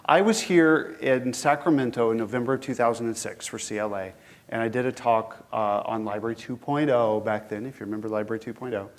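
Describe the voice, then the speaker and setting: A man's voice: medium pitch, a little fast. Sniffly man giving a conference lecture. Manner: conversational, engaging the audience with questions. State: head cold, sniffly. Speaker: guest lecturer.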